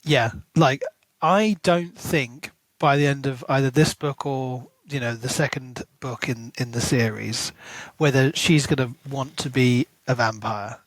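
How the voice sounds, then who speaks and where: A man co-hosting a podcast, chatting casually about a book and thinking out loud with lively rises in his voice. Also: mid-range voice, unhurried, no room sound, rough recording. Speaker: podcast co-host.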